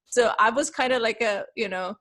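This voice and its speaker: A woman's voice: fairly high pitch, normal speed, fairly bright tone. A woman from Pakistan, a guest on a podcast, chatting casually with frequent laughs and restarts. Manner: chatty, laughs often, many restarts and fillers. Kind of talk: casual interview chat.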